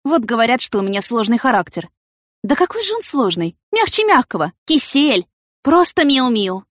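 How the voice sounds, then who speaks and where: A woman's voice: fairly high pitch, very animated, normal speed, no echo, clean studio sound, pitched above the performer's own voice. A woman voicing a vain, impulsive, dramatic young woman in an audiobook, with theatrical, indignant exclamations. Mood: coy, self-satisfied. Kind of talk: audiobook character acting.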